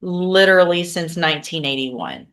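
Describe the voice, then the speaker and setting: American lady judge, kinda low voice, firm and formal, reading out rulings in court. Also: normal speed, fairly bright tone. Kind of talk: courtroom sentencing and docket proceedings.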